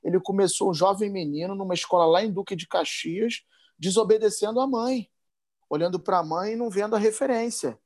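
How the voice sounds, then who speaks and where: Carioca man, fairly high voice, impassioned speaker, slangy and emphatic. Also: a little fast. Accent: Carioca Portuguese. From Brazil. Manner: emphatic, rising and speeding up when worked up, slangy asides. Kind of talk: impassioned talk.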